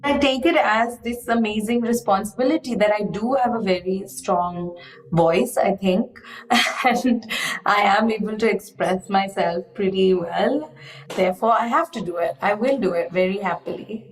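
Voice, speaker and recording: A woman artist being interviewed, speaking in a thoughtful, measured way and often hedging. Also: mid-range voice, roomy sound, low-quality recording. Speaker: artist guest. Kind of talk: reflective interview conversation.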